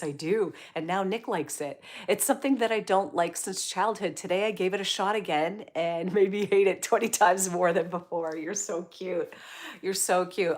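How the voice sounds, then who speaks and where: Woman, livestream host, slightly low voice, chatty and encouraging. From Canada. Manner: chatty, upbeat, encouraging, reads viewer comments aloud. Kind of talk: casual livestream chat reading comments.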